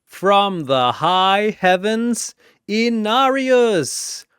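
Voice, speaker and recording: A man voicing a flamboyant, bombastic arena showman, proclaiming fighters in a drawn-out, theatrical announcer's style. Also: high voice, big pitch swings, fairly bright tone, clean tone, dry recording, studio quality, pitched above the performer's own voice, exaggerated voice. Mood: booming, proclaiming.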